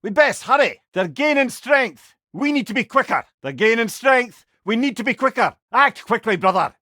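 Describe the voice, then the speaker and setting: Game companion: witty older Scottish advisor, loyal and wry, high-pitched for a man, close dry sound. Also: moderate pace, no echo, heavily acted. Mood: urgent. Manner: expressive, wry, folksy. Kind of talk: video game voice lines.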